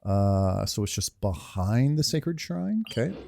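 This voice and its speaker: A man's voice: lowish voice, big pitch swings, a little slow, creaky, a little mellow, a little hiss or hum. A man giving casual playthrough commentary as a gaming video host, informal, with muttered asides. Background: game music.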